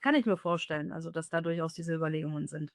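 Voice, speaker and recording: A woman's voice: low voice, quick pace. A woman giving spontaneous, thoughtful and somewhat hesitant answers in an interview. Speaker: interviewee, journalist.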